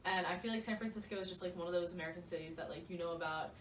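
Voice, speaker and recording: A woman's voice: lowish voice, very fast, fairly bright tone. Roomy, chatty young woman book vlogger. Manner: gushing, slangy, emphatic, playful. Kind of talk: casual chatty book discussion. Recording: roomy, distant mic with faint noise.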